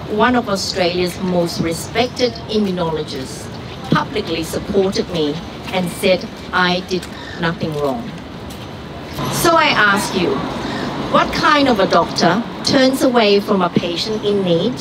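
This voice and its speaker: Woman giving a slow earnest speech outside, heard from a distance. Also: mid-range voice. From Vietnam. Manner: earnest, deliberate. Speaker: rally speaker, doctor. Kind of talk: prepared public speech. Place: outdoor street gathering. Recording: far-off street recording with traffic noise.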